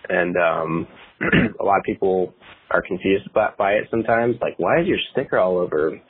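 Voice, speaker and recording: A man's voice: deep voice, moderate pace, slightly rough. A man in his twenties talking casually as an interview guest, rambling with many fillers and restarts. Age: 20s. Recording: tinny phone-like audio.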